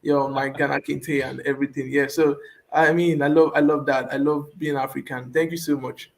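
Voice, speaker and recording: A man speaking as a youth leader on an online panel over a video call, earnest and enthusiastic with frequent pauses. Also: mid-range voice, level tone, gravelly.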